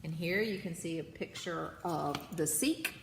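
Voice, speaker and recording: A woman's voice: low voice, unhurried, gravelly, fairly bright tone. A woman teacher reading a children's nonfiction book aloud to students, in an instructional way with brief asides. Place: indoor room with heating running. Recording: echoey, reverberant room with background hum. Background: heater noise.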